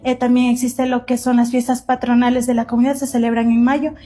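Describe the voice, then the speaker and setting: Young woman from Ecuador, flat and hesitant. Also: a little high, brisk, husky, soft background music. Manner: hesitant, many fillers. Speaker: interviewee. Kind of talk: casual interview answers.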